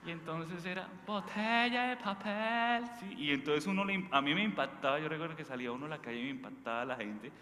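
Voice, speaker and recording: A man's voice: on the high side, fast, hardly any pauses, bright, forceful tone. A man with a Bogotá Colombian accent giving an informal sermon as a priest, conversational and emphatic, often checking in with his listeners through short questions. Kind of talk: informal sermon, preached talk with anecdotes. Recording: echoey hall with faint background noise.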